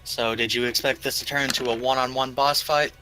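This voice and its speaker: A man plays a tabletop roleplaying game and chats casually. His voice is mid-pitched, bright and forceful, with faint music in the background. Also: steady tone, fairly quick, rough recording. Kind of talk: casual gaming chat.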